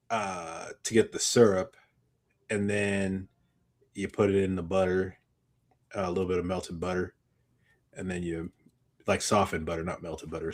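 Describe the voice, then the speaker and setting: A man's voice: sits low, a little mellow. A man co-hosting a casual live podcast, talking in a loose, rambling way and correcting himself as he explains. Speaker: podcast co-host.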